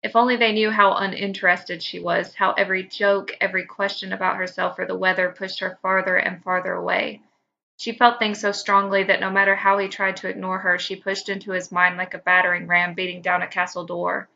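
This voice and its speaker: A woman's voice: medium pitch, flat delivery, a little fast, gravelly, bright, forceful tone. A woman who hosts a podcast, heard on a slightly lo-fi recording. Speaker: podcast host. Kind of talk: casual banter.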